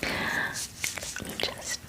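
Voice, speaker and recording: A woman plays a pampering female dragon who runs a fantasy spa. She whispers throughout at a very slow, unhurried pace. Her manner is soothing and reassuring, like a chatty best friend in an ASMR roleplay. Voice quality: breathy whisper.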